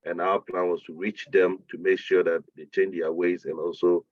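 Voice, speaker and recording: A man with a Ghanaian accent and a very low voice makes an earnest, measured point in a group discussion. He sounds thin and phone-like, recorded dry with no room sound. He often corrects himself mid-sentence. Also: little pitch movement, dry recording. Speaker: meeting participant. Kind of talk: discussion contribution.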